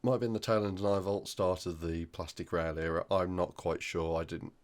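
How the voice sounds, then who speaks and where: A man with a croaky voice from flu, hosting a hobby news roundup in a casual, hesitant way with many ums. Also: low voice, fairly even intonation, fairly quick. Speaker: hobby channel host.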